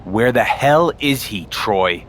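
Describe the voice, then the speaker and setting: A man's voice: mid-range voice, lively intonation, slow, very noisy. A man playing a cocky wrestling champion. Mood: impatient. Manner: confident, defiant. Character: cocky male wrestling champion, young adult. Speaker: player character. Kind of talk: video game voice acting.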